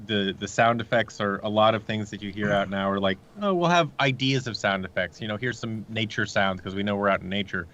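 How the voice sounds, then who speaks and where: Low-pitched man chatting, dull lo-fi sound. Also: fairly quick, few pauses, a bit bright. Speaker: guest, audio drama creator. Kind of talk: casual conversational pitch.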